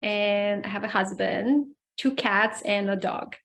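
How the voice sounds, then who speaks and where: A woman's voice: medium pitch, slow pace, vocal fry, fairly bright tone. A woman from Romania in her forties, a guest answering interview questions in a friendly, chatty way. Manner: friendly, chatty, laughs easily. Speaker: guest, personal development coach. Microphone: video call.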